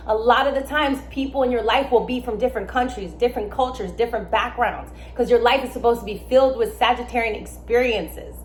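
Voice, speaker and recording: A young adult woman, born in Germany and raised in America, explaining astrology in a casual, emphatic way with lively asides. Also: fairly high pitch, a little hiss or hum. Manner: animated, emphatic, with asides and laughs. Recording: echoey room with faint ambience.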